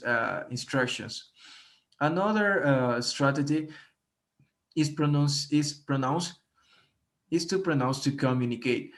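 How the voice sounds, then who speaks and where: A man from Ecuador with a Spanish accent, giving a class presentation on speaking strategies, careful and halting with many restarts. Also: medium pitch. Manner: careful, with frequent fillers and restarts. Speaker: student presenter.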